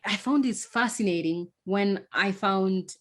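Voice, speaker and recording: A woman's voice: medium pitch, unhurried. A woman hosting a podcast, introducing her guest and asking questions with enthusiasm. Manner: enthusiastic, warmly encouraging interviewer. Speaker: podcast host.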